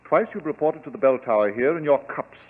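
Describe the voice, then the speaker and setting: Imperious English lord, husky, swooping intonation, upper-class accent, unbending, on a tinny old radio drama. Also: male voice, on the high side, expressive, fairly quick. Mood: stern. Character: stern, haughty English lord of an old abbey. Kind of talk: 1940s radio acting. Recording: tinny vintage radio recording.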